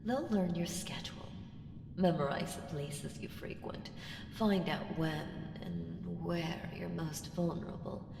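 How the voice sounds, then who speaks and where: Slow, echoing ancient evil seductress, acted by a woman. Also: on the low side, creaky. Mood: coaxing, ominous. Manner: slow, teasing, ominous, with whispered phrases. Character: ancient evil female entity, seductive, sly, taunting. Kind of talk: ASMR roleplay audio drama. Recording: reverberant hall effect, faint noise.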